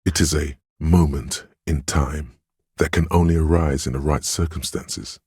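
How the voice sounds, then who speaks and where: A man voicing a solemn, tender inner voice that reads others' feelings in a video game, measured and brooding in delivery. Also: steady tone, a little mellow, dry recording, studio quality, heavily acted. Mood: solemn, sympathetic. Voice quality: deep, husky, gravelly. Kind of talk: scripted video game narration.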